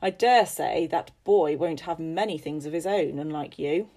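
A woman voicing a stern, haughty older gentleman landowner who gives commands. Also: deep voice, normal speed. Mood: imperious.

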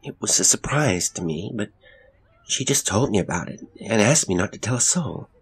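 A man voicing a distraught, defensive young mother in an audiobook story, agitated and emphatic and quick to anger. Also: on the low side, animated, slightly rough, pitched above the performer's own voice, full character voice, low-quality recording. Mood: upset, pleading.

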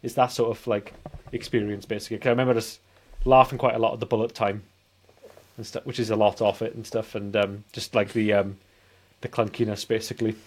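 A man's voice: on the low side, level tone, a little fast, darkish tone. A man in his forties co-hosting a casual film podcast, talking in a rambling, hesitant way. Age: 40s. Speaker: podcast co-host. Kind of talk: casual anecdotal film chat.

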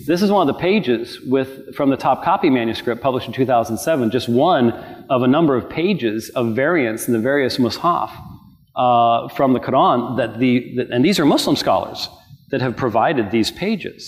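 A man's voice: medium pitch, animated, normal speed. A man arguing his side in a live debate, insistent, pressing his points one by one and often restarting his sentences. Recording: reverberant hall.

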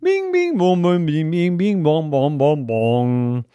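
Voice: medium pitch, expressive, takes it slowly, dark tone, clear, resonant tone, no echo, highs cut off. A storyteller voicing a girl whose speech has become piano notes, chanting ringing syllables in a children's story. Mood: chiming.

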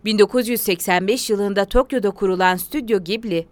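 A woman's voice: mid-range voice, fairly quick, dry recording. A woman narrating a scripted documentary-style explainer in an articulated, presentational way.

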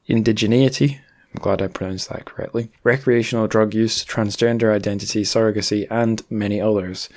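A man's voice: fairly low pitch, a little mellow. A man hosting a podcast in a casual, chatty way, with wry, self-deprecating asides. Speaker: podcast host.

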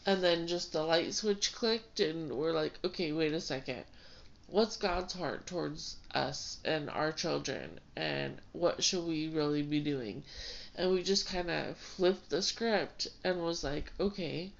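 A woman's voice: low voice, a little slow, creaky. A woman pastor co-hosting a casual ministry talk, stuffed up from a cold, chatty with laughs and fillers. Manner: conversational, with laughs and many fillers. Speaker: pastor and co-host. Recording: dull lo-fi sound.

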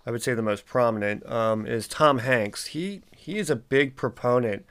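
A man's voice: medium pitch, moderate pace, a bit bright. A man in his forties hosting a solo podcast, sounding congested and stuffy, rambling casually with lots of ums and uhs. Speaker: podcast host.

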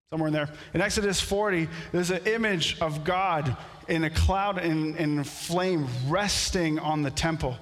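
A pastor whose first language is French, preaching a sermon in a storytelling way that builds to animated outbursts. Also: male voice, on the high side, in a large hall. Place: church sanctuary.

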